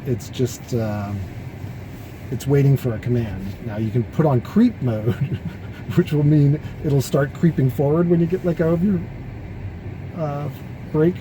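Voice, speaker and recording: A man's voice: medium pitch, a little slow. A man in a car casually explaining the features of his electric car, chatty and laughing at times. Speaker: car owner vlogger. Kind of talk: casual chat. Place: inside a car. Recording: loud road noise in car.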